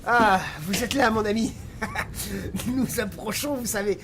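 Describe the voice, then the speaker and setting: An actor playing a sinister, half-mad sailor who gleefully foretells doom in a slow, ominous French audio drama delivery. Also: male voice, high-pitched, noisy background. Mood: eerily gleeful.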